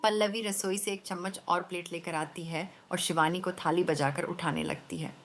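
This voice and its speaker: Low-voiced, creaky woman narrator. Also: fairly even intonation, normal speed, slight background noise. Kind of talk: scripted story narration.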